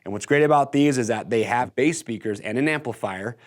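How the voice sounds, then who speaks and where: American man presenting gear, enthusiastic and conversational. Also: a little fast. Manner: enthusiastic, conversational sales pitch. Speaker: product video presenter. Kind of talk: casual product walkthrough to camera. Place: indoor workshop-style studio set.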